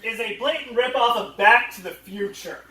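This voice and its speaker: Male comedic panel host, high voice, sweary mock-ranting, recorded far from the mic. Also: normal speed, very noisy. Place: convention panel room. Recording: echoey room, far from the mic.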